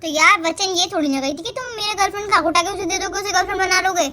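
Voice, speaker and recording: A woman's voice: very high-pitched, rapid-fire, bright, forceful tone, slight background noise. A young woman playing a hurt, indignant girlfriend who insistently repeats her questions in a dramatized phone-call argument.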